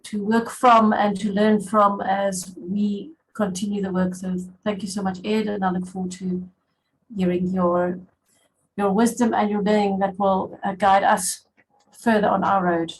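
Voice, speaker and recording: A woman introducing herself on a video call, earnest and halting, with frequent restarts. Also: mid-range voice, flat delivery, a little hiss or hum, poor audio.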